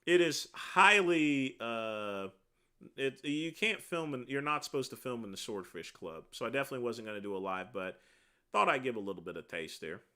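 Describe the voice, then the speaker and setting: Livestream host, man with a deep voice, animated, forceful delivery, rambling chat. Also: moderate pace, smooth. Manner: rambling, answers viewer questions one by one with many uh fillers.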